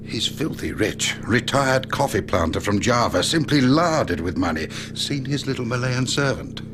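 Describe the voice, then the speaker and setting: An actor playing a breezy, blunt gentleman gossip in a radio drama. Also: mid-range voice, lively intonation, normal speed, lightly acted. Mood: breezy, gossipy. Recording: clear background noise.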